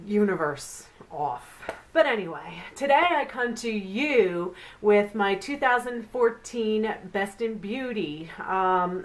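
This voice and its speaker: A middle-aged woman who reviews beauty products, chatty and rambling, sounding nasal and stuffed up from the flu. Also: mid-range voice. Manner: chatty and rambling, with frequent fillers. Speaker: beauty vlogger. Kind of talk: casual product review vlog.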